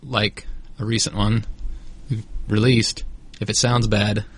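A man's voice: lowish voice, level tone, a little slow, slightly rough. A man co-hosting a casual podcast, rambling in a dry, self-deprecating way. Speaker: podcast co-host. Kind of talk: casual banter.

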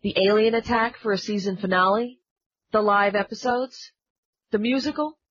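A woman's voice: mid-range voice, moderate pace, fairly bright tone, lightly acted. A woman playing an exasperated colleague who scolds in a scripted podcast comedy skit, recorded in dull lo-fi sound. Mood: exasperated scolding.

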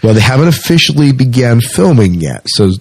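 A man's voice: mid-range voice, sing-song, brisk, breathy edge. A man co-hosting a podcast, chatting casually through entertainment news. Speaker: podcast co-host. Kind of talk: casual chat about sci-fi movie news.

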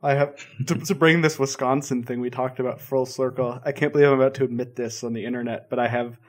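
A man's voice: mid-range voice, fairly even intonation, fast. A man, chatting casually in a playful, storytelling way. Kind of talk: casual chat.

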